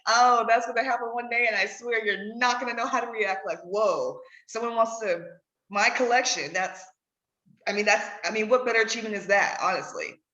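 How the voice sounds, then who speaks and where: A woman's voice: a little high, fairly quick, sharp tone. A woman hosting a conversational interview podcast, readily agreeing with and echoing what her guest says. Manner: affirming, echoes and agrees with guest. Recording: dull audio in echoey room.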